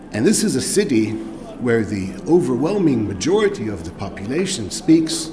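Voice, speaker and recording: Middle-aged guy lecturing at a mic stand, low voice, slight room hiss. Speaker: academic researcher giving a talk. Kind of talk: formal talk. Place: seminar room.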